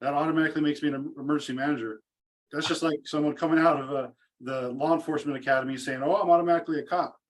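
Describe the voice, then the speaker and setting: A man's voice: a little high, fairly even intonation, racing. A man hosting an interview podcast, agreeing readily and talking around his points with many restarts. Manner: agreeable, rambling with repeated restarts. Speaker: podcast host.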